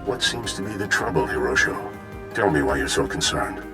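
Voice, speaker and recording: An actor voicing a calm, stern, mature Jedi master in a video game, speaking in a measured, formal way. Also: male voice, deep voice, music in the background. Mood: composed concern.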